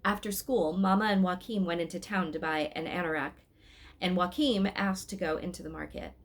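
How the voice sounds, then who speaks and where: A woman's voice: lowish voice, fairly even intonation, moderate pace. Woman reading a story aloud. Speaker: storybook reader, host. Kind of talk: expressive read-aloud narration with casual asides.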